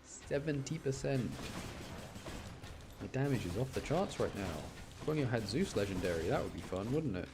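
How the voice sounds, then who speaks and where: A man's voice: mid-range voice, lively intonation, moderate pace, background noise. A man giving casual, enthusiastic gameplay commentary in a rambling, slangy way. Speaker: game streamer.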